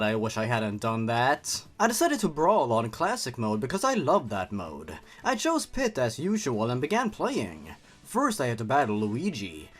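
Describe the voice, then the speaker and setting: A man reading a horror story aloud theatrically, breaking off for sarcastic, mocking asides. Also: medium pitch.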